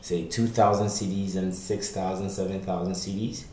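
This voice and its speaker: A man with a very low voice hosts a casual podcast chat. He nudges guests along with quick "okay"s and easy laughs. The room is echoey, with a faint hum of ambience behind him. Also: flat delivery, dark tone. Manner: laughs easily, prompts guests with short acknowledgements. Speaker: podcast host. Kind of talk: casual interview.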